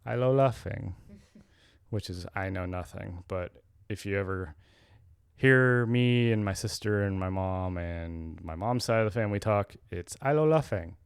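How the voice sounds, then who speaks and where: A man's voice: deep voice, measured pace, smooth, no echo, studio quality. A young adult man co-hosting a casual podcast, rambling and correcting himself as he talks. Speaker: podcast co-host.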